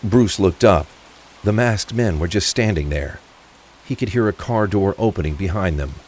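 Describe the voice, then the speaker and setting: Deep-voiced man narrating a thriller. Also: expressive, moderate pace, a little mellow, slightly rough, rough recording. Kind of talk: scripted crime thriller narration. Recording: faint rain and traffic ambience. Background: rain, vehicle sounds.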